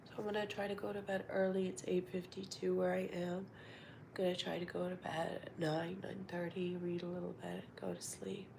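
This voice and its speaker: A woman with a cold, stuffed up and nasal, chatting casually to her livestream viewers from home in a soft voice that slips into half-whispers. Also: fairly low pitch, little pitch movement, moderate pace, vocal fry, background noise. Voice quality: congested, nasal. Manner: soft-spoken, drifts into half-whispers, many fillers. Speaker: livestream host. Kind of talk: casual live-stream chat.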